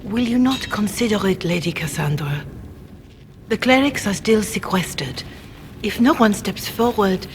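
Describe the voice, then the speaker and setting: An actress playing a gentle senior cleric in a fantasy video game, formal and imploring. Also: female voice, mid-range voice, expressive, measured pace, in a large hall, natural voice. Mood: pleading. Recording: game audio with noticeable background noise.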